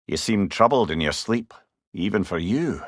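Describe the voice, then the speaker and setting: A man playing a wise, witty older companion in a video game, with a Scottish accent and a wry, avuncular, expressive manner. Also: sits low, moderate pace, no room sound, studio quality. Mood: puzzled, searching. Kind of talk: video game character dialogue.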